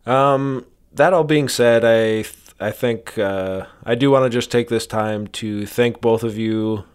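A man co-hosting a comedy podcast, bantering in a halting way and repeating his words. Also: mid-range voice, smooth. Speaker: podcast co-host.

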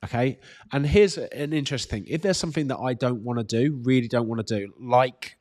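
A man's voice: mid-range voice, brisk, a little mellow. Bunged-up British man coaching. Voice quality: slightly congested, bunged-up nose. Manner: direct, encouraging, emphatic repetition. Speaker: fitness coach answering members' questions. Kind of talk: casual live Q&A, reading out questions and advising.

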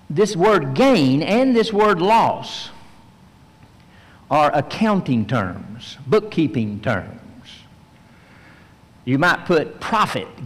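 A man preaching a verse-by-verse sermon, teaching slowly and with emphasis, pausing often with fillers. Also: mid-range voice. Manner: slow, emphatic teaching with frequent pauses and fillers. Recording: long hall reverb.

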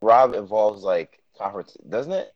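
A man's voice: lowish voice, a little slow, dry recording. A man originally from Jamaica, raised in the Bronx, co-hosting a podcast in casual chat, hesitant and pedantic. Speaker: podcast co-host. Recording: dull dry lo-fi sound.

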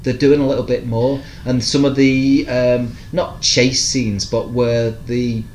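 A man's voice: medium pitch, little pitch movement, slow, a little mellow. A man chatting casually as a podcast co-host, easygoing and a little hesitant. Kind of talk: casual chat reviewing TV shows. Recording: echoey room, faint background noise.

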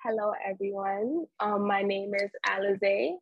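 A woman's voice: mid-range voice, unhurried, lo-fi sound, faint music behind. A woman, a guest on a podcast, chatting in a laid-back, rambling way full of fillers. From Harlem, New York. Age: 20s. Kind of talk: casual interview chat.

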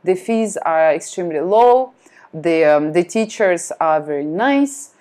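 A woman whose first language is Russian, chatting as a podcast guest in a lively, self-deprecating way. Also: on the low side, a little slow. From France. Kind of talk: casual chat.

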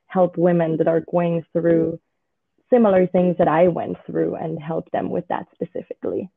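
A woman from Switzerland, a dating coach, explaining her ideas in a measured way as a podcast guest on a remote call. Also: on the low side, flat delivery, gravelly, slightly rough, no echo. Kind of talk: podcast interview. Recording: tinny remote call audio.

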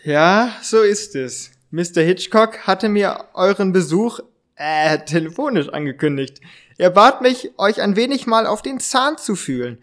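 A man playing a sly, mock-stern gentleman who interrogates intruders in an amateur audio drama, in a halting, drawn-out way. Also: high voice, expressive. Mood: apologetic.

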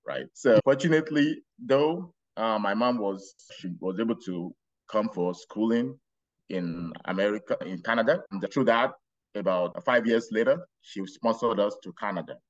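Brief: middle-aged man, Nigerian accent, lowish voice, muffled lo-fi audio, storytelling podcast guest. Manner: unhurried, rambling with restarts and laughs. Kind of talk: casual interview storytelling.

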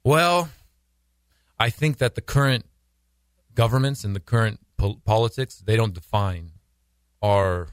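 A man from the United States, a missionary guest on a radio interview, speaking thoughtfully and haltingly, with frequent restarts. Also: fairly low pitch, no room sound, clean studio sound. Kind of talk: casual interview talk.

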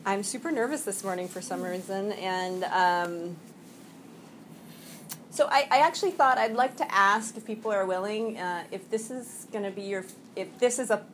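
A woman around forty talks to a support group in a meeting room, a little sniffly from a cold. Her speech is halting and full of fillers and restarts, broken up by frequent laughs. Faint room noise sits under her voice. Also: medium pitch, a bit bright. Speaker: recovery meeting speaker. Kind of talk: personal share at a support meeting.

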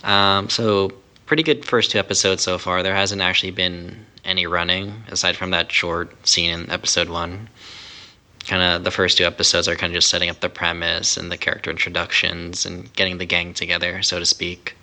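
A man's voice: sits low, level tone, a little fast, bright, forceful tone. A man chatting casually as a podcast co-host, giving his impressions in a review. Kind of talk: casual anime review chat.

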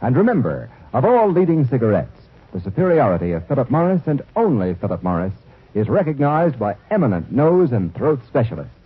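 A man's voice: mid-range voice, huge pitch swings, fairly quick, slightly rough. A man reading a 1940s radio commercial, persuasive and emphatic. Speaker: commercial announcer. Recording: tinny old radio broadcast.

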